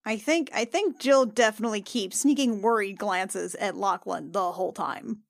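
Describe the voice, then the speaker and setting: A woman takes part in a tabletop role-playing game in a medium-pitched voice. She chats casually and describes her character in an emotive, halting way, recorded dry without room sound. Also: creaky, no echo.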